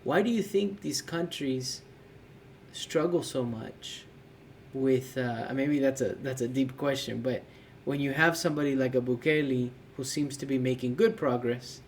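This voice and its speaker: Casual male podcast co-host, mid pitch, faint hiss behind him, easygoing and halting. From California. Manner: relaxed, searching for words, frequent fillers.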